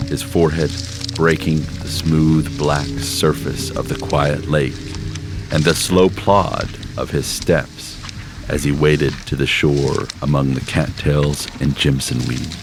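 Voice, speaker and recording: A man reading a literary short story aloud in measured, unhurried scripted narration, with slowed phrases. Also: a bass voice, flat delivery. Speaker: story reader. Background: background score music.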